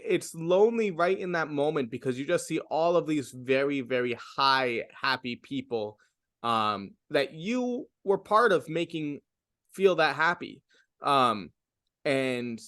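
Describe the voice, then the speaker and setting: A man's voice: medium pitch, bright, forceful tone, no room sound, clean studio sound. A young adult man, a comedian, talking reflectively in a casual interview, with lots of fillers and restarts.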